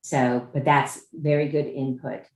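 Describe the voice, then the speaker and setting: A woman with a low voice leads a conference call from a roomy, echoing space. She explains procedure informally and haltingly, going back to rephrase as she goes. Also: flat delivery, a little mellow. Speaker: chair of a judicial nominating commission meeting. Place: indoor room on a Zoom conference call. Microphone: video call microphone.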